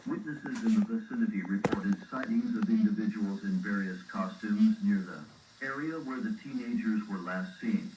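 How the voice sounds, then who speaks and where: A man voicing a formal, impersonal emergency broadcast announcer, reading an official alert in a measured, stern way. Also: fairly low pitch, a little mellow, close to an everyday voice. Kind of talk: formal scripted public alert. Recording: roomy, distant, faintly noisy broadcast playback.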